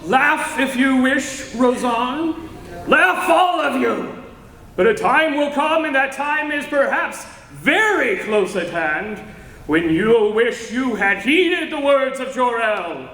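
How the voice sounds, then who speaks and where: A man's voice: extremely high pitch, lively intonation, slow pace, bright, forceful tone, exaggerated voice. A man acting a grave, passionate young alien scientist foretelling doom in solemn, declamatory old-time radio drama style. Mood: bitter, ominous. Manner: solemn, declamatory, melodramatic delivery. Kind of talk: 1940s radio serial acting recreated on stage. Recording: echoey hall recording with background noise.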